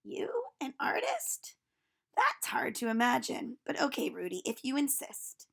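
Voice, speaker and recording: A self-important artist from a children's storybook, played by a woman. He instructs in a haughty, measured way, with a creaky, slow delivery. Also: sits high, wildly swooping pitch, a bit bright, whispered, pitched below the performer's own voice, full character voice. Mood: condescending. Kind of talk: storybook read-aloud.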